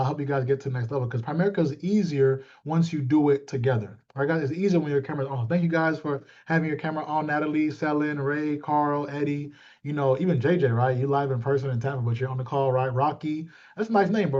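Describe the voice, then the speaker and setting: A man's voice: fairly high pitch, quick pace, few pauses, darkish tone. A man in his thirties giving an informal motivational team talk as a coach, often repeating himself and checking in with listeners. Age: 30s. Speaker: sales team leader and coach. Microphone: video call. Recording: muffled, lo-fi video call audio.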